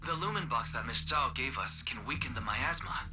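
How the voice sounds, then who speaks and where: A man's voice: medium pitch, expressive, moderate pace, close to an everyday voice. A man voicing a thoughtful, practical young male companion in an anime-style game.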